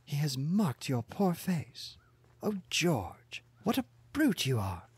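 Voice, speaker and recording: A man's voice: a little high, huge pitch swings, slow pace, husky, dry recording, pitched above the performer's own voice, heavily acted. A man voicing a small, furious, scolding wife in an audiobook, wailing and reproachful. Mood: scolding, indignant.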